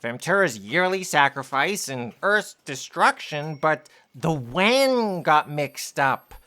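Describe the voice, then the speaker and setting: Woman as a sharp-voiced, fussy sci-fi copy aide. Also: low voice, big pitch swings, slow, exaggerated voice. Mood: explaining. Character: fussy, pedantic, flustered junior copy aide. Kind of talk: comic sci-fi audio drama acting.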